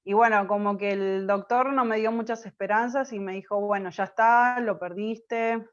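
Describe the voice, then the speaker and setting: Soft-spoken Argentine woman, hesitant. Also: mid-range voice, fairly even intonation, normal speed, dull audio. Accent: Argentine Spanish. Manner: hesitant, many fillers and restarts. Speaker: interview guest. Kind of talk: personal testimony in conversation.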